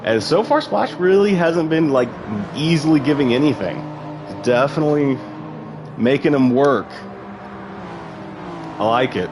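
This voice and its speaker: A man's voice: fairly high pitch, animated, a little slow, pauses often, noisy background. A man giving casual, rambling live commentary on an online sim race, full of fillers and asides.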